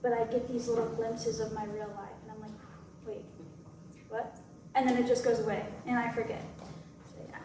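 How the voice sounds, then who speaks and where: A woman's voice: fairly high pitch, flat delivery, normal speed, long pauses. A woman actor casually explaining her part at a post-show question session. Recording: very echoey room, distant, noisy.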